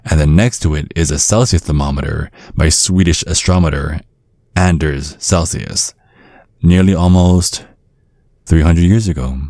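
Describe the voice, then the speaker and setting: A man acting a menacing, courteous old vampire father in an ASMR roleplay, speaking in measured, slyly threatening tones. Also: extremely low pitch, darkish tone, no echo. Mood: smug, courteous. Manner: measured, veiled threats under courteous small talk. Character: menacing ancient vampire father, polite, sly and controlling.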